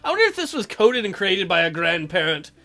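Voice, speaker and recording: A man gives wisecracking game commentary in a bright voice with animated intonation. His asides are sarcastic and joking, and soft music plays faintly behind him. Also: high voice, brisk, creaky. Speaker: let's play host.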